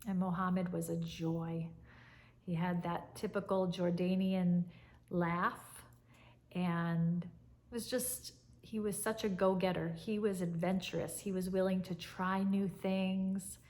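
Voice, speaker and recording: A woman in her fifties, an English teacher, talking gently and fondly to camera in a fireside chat at home. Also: on the low side, level tone. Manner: warm, soft-spoken and unhurried, with fond reflective pauses. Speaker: English teacher, channel host. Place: living room by a fireplace, indoors. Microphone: camera at chest height, seated.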